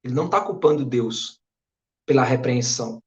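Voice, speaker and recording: A man preaching an earnest, emphatic Bible sermon in a Brazilian Northeastern accent, his voice hoarse from a recent flu. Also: medium pitch, normal speed. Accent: Brazilian Portuguese, Northeast. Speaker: pastor. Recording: echoey room.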